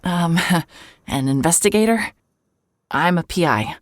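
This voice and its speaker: A woman's voice: fairly low pitch, animated. An actress playing a wry, guarded female private investigator in an audio drama, both narrating and in dialogue. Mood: nervous, pleading. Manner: wry, descriptive, halting when cornered. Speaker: narrator and lead character.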